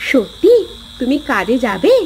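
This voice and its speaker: Woman voicing a high-pitched, shrewd cartoon village wife. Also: sing-song, measured pace, slight background noise. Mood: sweetly sly. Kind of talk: cartoon story acting.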